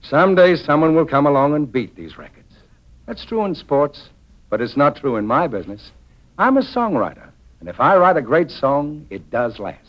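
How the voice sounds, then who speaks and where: A man's voice: fairly high pitch, big pitch swings, moderate pace. A man playing a famous songwriter giving a grand, emphatic toast in 1940s radio oratory. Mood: solemn, ceremonious. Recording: dull vintage radio recording.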